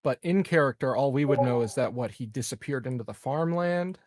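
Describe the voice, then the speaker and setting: A man's voice: medium pitch, a little fast, darkish tone, no room sound. A man chatting casually as a player in a tabletop game, with a wry, joking manner.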